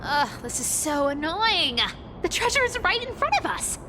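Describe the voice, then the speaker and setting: A woman's voice: very high voice, sing-song, normal speed, very noisy. An actress playing a sly, world-weary female thief from a video game, with a nonchalant, teasing manner. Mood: teasing, irked. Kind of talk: video game character acting. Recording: game music behind the voice.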